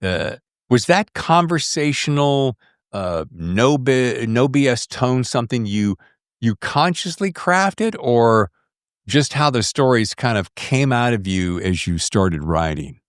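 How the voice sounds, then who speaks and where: A man's voice: medium pitch, big pitch swings, takes it slowly, dry recording, clean studio sound. A man hosting a podcast, interviewing in a casual, conversational and enthusiastic way.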